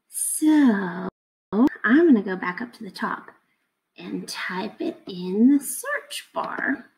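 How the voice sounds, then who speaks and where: A woman's voice: mid-range voice, gravelly, poor audio. A woman in her thirties talking casually to children as their teacher, explaining simply and putting questions to them. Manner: explains simply, asking questions of young listeners. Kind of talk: casual read-aloud lesson for children. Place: indoors at a computer, webcam screen recording.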